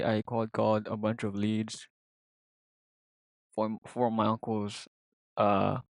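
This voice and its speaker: Rambling low-voiced man with a Filipino accent. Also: no echo, low-quality recording. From Philippines. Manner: rambling, many fillers and restarts. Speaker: vlogger. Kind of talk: casual vlog monologue.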